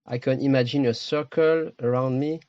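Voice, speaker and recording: Low-voiced man guiding a meditation. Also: steady tone, darkish tone, no echo, dull audio. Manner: slow, pausing, short phrases. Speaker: meditation teacher. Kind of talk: guided meditation.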